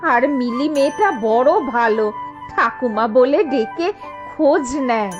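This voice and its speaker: A woman voicing a kindly old grandmother in a cartoon, speaking softly and coaxingly. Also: a little high, unhurried, close to an everyday voice. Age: older. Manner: soft, coaxing, pleading. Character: kindly old village grandmother, gentle peacemaker. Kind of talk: cartoon voice acting. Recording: dull lo-fi sound with music. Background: music.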